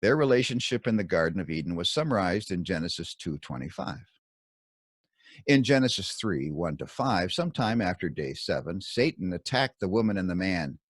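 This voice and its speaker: A man reading his own scripted Bible commentary as narration, in measured, deliberate short phrases. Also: fairly low pitch, no room sound, clean studio sound.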